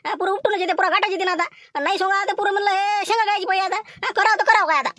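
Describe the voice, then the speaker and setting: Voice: very high-pitched, steady tone, very fast, bright, forceful tone, no echo, clean studio sound, pitched above the performer's own voice. A woman voicing worried village farmers in a cartoon comedy, speaking colloquial, complaining rural Vidarbha Marathi. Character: worried Marathi village farmer men chatting about crops.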